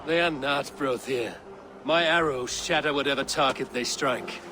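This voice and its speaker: An actor playing a proud, wry Viking warrior in a video game, speaking in measured phrases. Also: male voice, mid-range voice, background noise, lightly acted. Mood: boastful. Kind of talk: video game dramatic acting.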